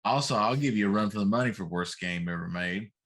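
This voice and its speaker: Low-voiced man complaining emphatically. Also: fast talker, fairly bright tone. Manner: emphatic, comically exaggerated complaining. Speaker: talk show co-host. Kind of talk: casual chat.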